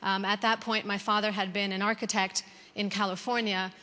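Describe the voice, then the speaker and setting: A woman's voice: medium pitch, little pitch movement, fairly quick. A woman in her thirties with an American accent giving a conversational conference talk, with frequent "um"s and restarts. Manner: warm conversational, many "um"s and restarts. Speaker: conference speaker. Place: large conference hall. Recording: reverberant hall.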